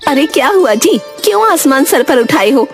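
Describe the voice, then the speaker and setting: A woman's voice: high voice, big pitch swings, normal speed, faint music behind. A woman voicing a scolding village wife and proud, defensive mother in a cartoon. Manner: scolding, teasing. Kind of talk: Hindi cartoon moral-story voice acting.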